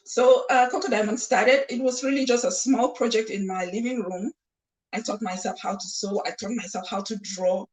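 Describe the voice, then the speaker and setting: A woman's voice: a little high, steady tone, normal speed, darkish tone, rough recording. A woman speaking as a guest designer on a talk show, in a casual, personal storytelling style.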